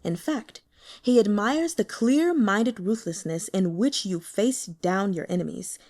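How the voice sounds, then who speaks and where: A woman voicing a smooth, persuasive older male sect elder who speaks in a coaxing way. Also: mid-range voice, lively intonation, measured pace, darkish tone.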